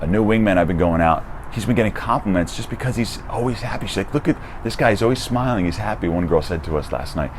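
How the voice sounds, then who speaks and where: A young man with a deep voice giving casual, energetic dating advice, swearing freely and dropping "dude" and "right?". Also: quick pace, few pauses, noisy background. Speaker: dating coach. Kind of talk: casual direct-to-camera advice.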